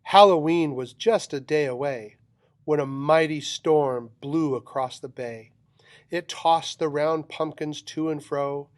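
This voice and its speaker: Dry-sounding man reading a rhyming storybook, unhurried. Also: a little high, no room sound. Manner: dramatic, rhythmic delivery of rhyming verse. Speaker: storybook reader. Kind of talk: expressive children's picture book read-aloud.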